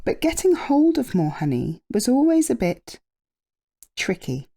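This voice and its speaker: Unhurried, mellow woman reading a storybook. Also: fairly high pitch, big pitch swings, creaky. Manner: drawn-out emphasis, dramatic pauses. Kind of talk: expressive children's storybook reading.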